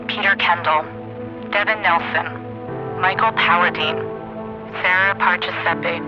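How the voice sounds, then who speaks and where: A high-voiced man reads the closing credits in an even, measured tone. The sound is a little dull, and music plays clearly underneath. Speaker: credits announcer. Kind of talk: scripted credits read.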